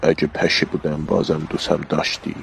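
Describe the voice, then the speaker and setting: A man voicing a strange, childish creature who talks in terse, deadpan mumbles with a gravelly voice. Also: extremely low pitch, a little hiss or hum.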